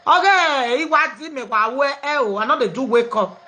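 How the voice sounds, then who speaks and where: A Nigerian woman with a high, bright-edged voice hosts a live online show. She slides easily between pidgin and other tongues, chatting with callers and laughing often. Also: animated, moderate pace. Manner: mixes pidgin, English and local languages, laughing easily. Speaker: host of an online live program. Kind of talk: casual live chat with callers.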